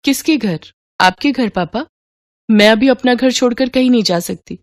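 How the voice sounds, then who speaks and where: A woman's voice: fairly high pitch, normal speed, no room sound, studio quality, natural voice. A woman voicing a loyal young married daughter, polite but firm, defending her in-laws in a moral story. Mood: firm, defensive.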